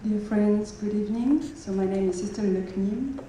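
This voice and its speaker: A woman's voice: mid-range voice, normal speed, warm tone, slight background noise. A woman with a French accent, a Buddhist nun, introducing herself hesitantly to an audience. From France. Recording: echoey hall.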